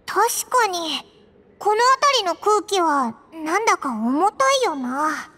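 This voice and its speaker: A woman's voice: very high-pitched, sing-song, slow pace, pushed and bright, exaggerated voice. A woman voicing a tiny, childlike fairy companion in an anime game, blunt and informal. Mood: surprised, musing.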